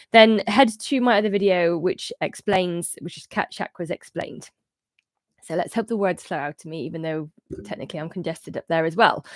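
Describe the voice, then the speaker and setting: A woman, congested and a bit muffled, talking casually to viewers on a live stream from a bright room at home into a studio mic. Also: fairly low pitch, no room sound. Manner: chatty, gesturing, rambling with restarts. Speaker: host of a cat energy channel. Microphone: studio microphone on arm in front of her.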